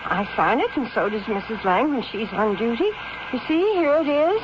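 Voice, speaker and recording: An actress playing a dutiful, nervous nurse in a 1970s radio drama, earnest and deferential. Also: female voice, fairly high pitch, sing-song, moderate pace. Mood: eager, deferential. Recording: dull, noisy old radio broadcast recording.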